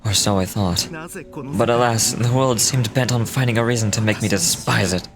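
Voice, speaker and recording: A man's voice: medium pitch, moderate pace, natural voice. A man voicing a bitter, self-justifying researcher in a video game, telling his story dramatically, like a scorned impostor. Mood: sorrowful resentment. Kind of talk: scripted video game voice acting. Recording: music behind the voice.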